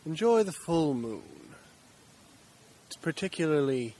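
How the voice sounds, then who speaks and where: A man's voice: on the high side, big pitch swings, unhurried, dark tone. A man playing an eerie, courteous stranger in an audio drama, speaking with an insinuating politeness. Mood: sinister politeness. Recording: faint background noise.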